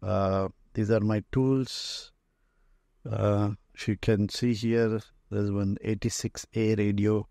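Indian male tour guide, mellow and unhurried, halting phrasing, informal walk-through narration. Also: lowish voice, flat delivery, creaky, no echo, clean studio sound. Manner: halting, pausing often mid-phrase. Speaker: museum owner and radio restorer giving a tour. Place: indoor museum and workshop room full of shelves.

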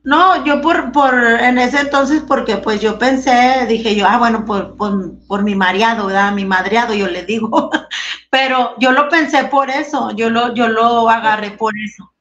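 A woman's voice: a little high, unhurried, a bit bright. A woman in her thirties from Monterrey, Mexico, with a northern Mexican accent, a giggly and hesitant guest on a video call. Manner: giggly, hesitant, many fillers. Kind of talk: casual video-call interview. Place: small room indoors. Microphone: webcam on a video call. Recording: dull lo-fi webcam sound.

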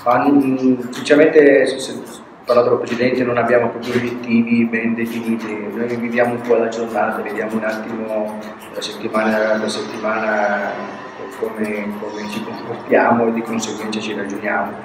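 An older man, a football coach, answering questions at a post-match press conference in a halting way, with frequent restarts and words that trail off. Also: lowish voice, completely flat delivery. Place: press room with a sponsor backdrop. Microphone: table mic on a stand. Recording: echoey room, mic at a distance, noisy.

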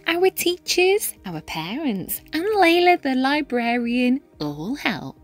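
A woman reading a children's picture book aloud in an expressive, animated manner with dramatic pauses and emphasis. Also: high voice, faint music behind. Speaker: read-aloud storyteller, teacher-style reader.

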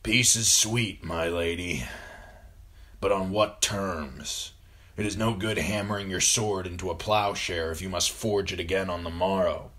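A man voicing a seasoned, sceptical noble warrior in a fantasy audiobook, speaking in a measured, deliberate way. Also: low-pitched, steady tone, a bit bright. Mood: measured scepticism. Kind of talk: audiobook fantasy character acting.

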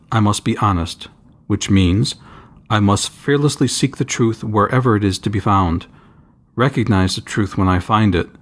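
A man with a fairly low voice narrates a spiritual essay he has written. His delivery is formal and deliberate, with measured phrasing suited to a priest and scholar reading from a prepared text.

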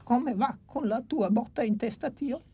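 A woman's voice: on the high side, a little slow, warm tone, breathy edge, dry recording, muffled recording, faint music behind, exaggerated voice. A woman voicing a fussy old male dinosaur teacher and inventor in a dubbed cartoon, patient and lecturing. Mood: weary.

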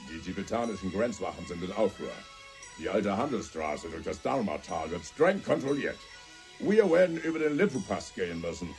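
An actor playing a commanding American scout who speaks in a theatrical way, in a radio play. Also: male voice, sits low, sing-song, normal speed, darkish tone, soft background music. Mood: grave. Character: gruff American scout Mister Monsoon, commanding.

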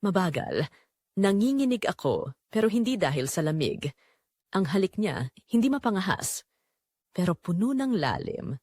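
A woman narrates a scripted romance in the first person. Her mid-range voice moves up and down in very animated swings, in a dry, close-sounding recording. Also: mid-range voice, moderate pace, pauses often, no room sound.

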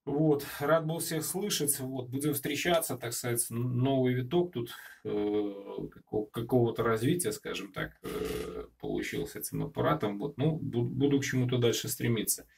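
A man with a creaky, gravelly voice speaks as an amateur radio operator in a home radio room. His talk is casual and halting, passing between remarks and radio procedure. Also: medium pitch, lively intonation, a little mellow. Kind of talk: casual ham radio contact. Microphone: handheld camera filming the radio.

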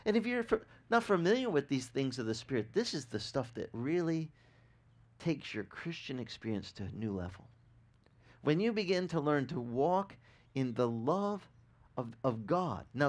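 A man's voice: fairly high pitch, normal speed. A man in his forties whose first language is Italian, preaching a sermon on a beach and asking the crowd questions as he goes. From grew up speaking Italian. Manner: animated, gesturing, asks the crowd questions. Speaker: pastor. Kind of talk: outdoor sermon. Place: sandy beach outdoors.

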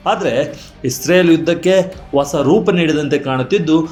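A man reading a scripted news voice-over with brisk, emphatic headline delivery. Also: a little high, darkish tone. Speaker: news narrator. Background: faint music bed.

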